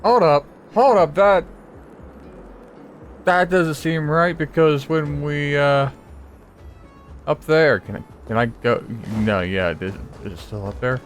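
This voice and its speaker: A man's voice: a little high, slow pace, long pauses, faint music behind. A man giving casual, off-the-cuff commentary as he plays a game, muttering and thinking aloud. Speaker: gamer playing and reacting to a story game.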